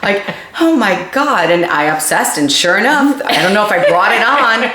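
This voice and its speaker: A woman's voice: medium pitch, lively intonation, moderate pace, bright, forceful tone, echoey room. A bubbly woman in her fifties co-hosting a casual talk show, laughing often. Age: 50s. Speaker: co-host. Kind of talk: casual chat.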